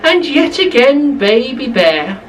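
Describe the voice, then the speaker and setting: Woman doing a bedtime story for kids, slow and super expressive, up and down a lot, slight bg noise. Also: high-pitched, distant mic, poor audio. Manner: expressive, repeats phrases for emphasis. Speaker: children's storyteller. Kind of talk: animated bedtime storytelling for young children.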